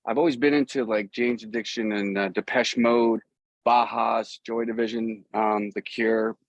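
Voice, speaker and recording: A man's voice: lowish voice, fairly even intonation, normal speed, dry recording, dull audio. A middle-aged man chatting casually as an interview guest, telling stories in a rambling, easygoing way. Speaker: guest, musician. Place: outdoors.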